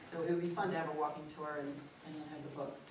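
A woman's voice: deep voice, level tone, quick pace. Echoey, distant older woman making announcements. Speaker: event host introducing a speaker. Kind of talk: informal announcements to a live audience. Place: indoor meeting room. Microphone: distant camera microphone. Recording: echoey, noisy room recorded from afar.

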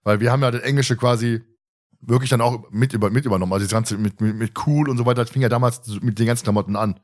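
A man's voice: medium pitch, steady tone, rapid-fire, a little mellow. A man in his thirties from Germany co-hosting a podcast in casual, colloquial, teasing chat. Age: 30s. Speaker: podcast host.

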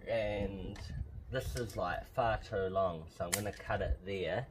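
Male vlogger, low voice, offhand hands-on DIY commentary, unhurried delivery, noisy outdoor camera audio. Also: fairly even intonation. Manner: offhand, narrates each step as he works. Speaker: host of a car modification vlog. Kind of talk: casual DIY commentary while working. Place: outdoors beside a car's open bonnet.

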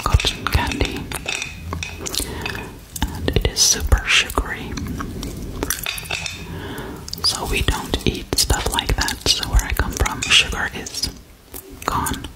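A woman whispering close to the mic as a gentle female alien visiting Earth, in a slow, soothing, repetitive ASMR role-play, recorded indoors in a small room. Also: natural voice, poor audio. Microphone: large studio mic held very close.

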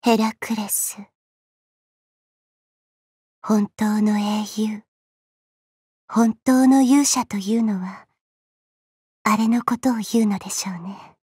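A woman voicing a petite, haughty, teasing goddess for a game, with elegant, coy, ladylike speech and a soft, breathy tone. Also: mid-range voice, fairly even intonation, takes it slowly, pauses often, dry recording, clean studio sound. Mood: wistful. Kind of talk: Japanese mobile game character voice lines.

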